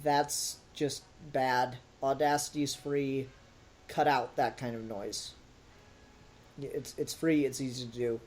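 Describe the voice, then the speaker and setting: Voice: mid-range voice, fairly even intonation, slow, a little mellow, slight background noise. A podcast host giving a casual, critical review in an exasperated and emphatic way.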